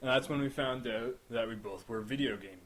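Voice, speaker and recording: A man's voice: medium pitch, brisk, poor audio. A man hosting a casual interview, asking questions with teasing, dry jokes. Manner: joking, teasing dry asides. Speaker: host.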